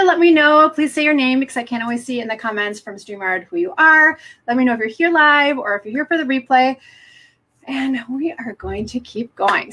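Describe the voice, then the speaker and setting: Middle-aged woman coach, higher-pitched voice. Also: fairly bright tone. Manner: upbeat, encouraging, often prompts viewers directly. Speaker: business coach hosting a live webinar. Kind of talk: live online presentation to viewers.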